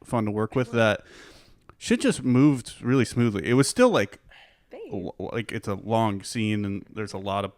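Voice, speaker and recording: A young man, the film's director, chatting casually through a commentary, animated and rambling. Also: on the low side, unhurried, no room sound, clean studio sound. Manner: animated, rambling, laughs easily. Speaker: film director.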